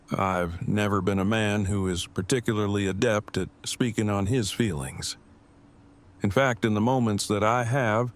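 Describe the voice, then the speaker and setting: A man playing an awkward, bookish groom in an audio drama, sincere, with stiff, measured, wordy phrasing. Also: on the low side, close to an everyday voice. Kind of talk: fan audio drama acting.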